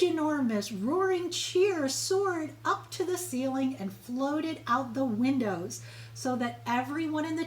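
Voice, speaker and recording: A woman reading a children's story aloud, expressively and with emphatic, dramatic phrasing. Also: high voice. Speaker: storybook reader.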